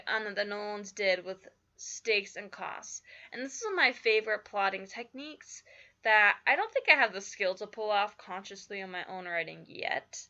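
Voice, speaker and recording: A woman talks through a writing lesson in a casual, filler-heavy way, her voice slightly croaky from just waking up. Her tone is bright and a little high. The recording is somewhat dull and lo-fi. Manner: chatty, many fillers like "like" and "you know". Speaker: writing teacher.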